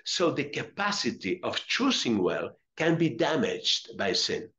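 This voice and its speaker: A middle-aged man from Colombia with a Spanish accent, a friar teaching a religious lesson, reading aloud and explaining deliberately, repeating phrases for emphasis. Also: mid-range voice, very animated, sharp tone. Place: small indoor room with curtains.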